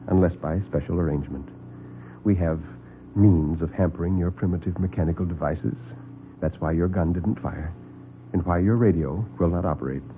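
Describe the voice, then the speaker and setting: A man playing a calm, superior doctor who speaks formally and with cold courtesy, in classic radio horror storytelling. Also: deep voice, a little hiss or hum, voice pushed down. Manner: formal, measured, softly condescending. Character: calm, superior male doctor, sinister and coldly courteous captor. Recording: muffled old radio broadcast recording.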